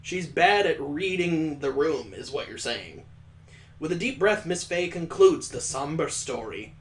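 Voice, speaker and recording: A man with a slightly high voice reads a story game's text aloud in a mock-solemn tone. He keeps interrupting himself with dry, sarcastic jokes about what he's reading. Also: lively intonation, unhurried. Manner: wry, mocking asides between dramatic readings. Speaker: let's play host.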